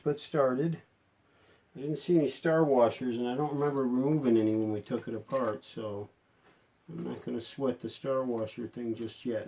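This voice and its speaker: A man's voice: medium pitch, warm tone, poor audio. A man narrating a hands-on repair unscripted, thinking aloud in a folksy way. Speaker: hobbyist repairer presenting a how-to video.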